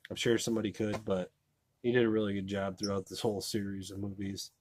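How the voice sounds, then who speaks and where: A man's voice: on the low side, level tone, fast, darkish tone, slight background noise. A man giving a casual, rambling movie review, full of fillers like "you know" and restarts. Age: adult.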